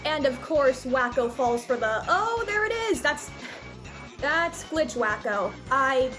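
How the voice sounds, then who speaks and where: Female video reviewer, high register, conversational and openly exasperated commentary over background music. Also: very animated, slow, fairly bright tone. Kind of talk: cartoon review commentary with live reactions.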